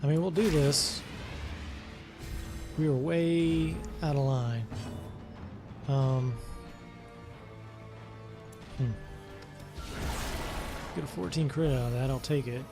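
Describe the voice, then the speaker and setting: A man talks through his gameplay in a casual, thinking-aloud way as the host of a let's play. His voice is mid-pitched with a gravelly, creaky texture, and he often hesitates while he works out his next move. Game music plays clearly behind him. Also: steady tone, slow, long pauses.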